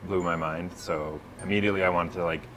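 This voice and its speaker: A man's voice: low-pitched, fairly even intonation, quick pace, music in the background. A man in his thirties, a filmmaker interviewed on a TV show, talking hesitantly, laughing and trailing off, on a lapel mic. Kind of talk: casual interview talk. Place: TV studio set.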